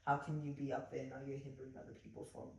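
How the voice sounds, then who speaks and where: Croaky woman in a very echoey room. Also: a bass voice, very fast. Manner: animated storytelling, acts out quotes. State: slightly croaky voice. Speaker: podcast co-host. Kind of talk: casual faith chat. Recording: very echoey room, mic at a distance.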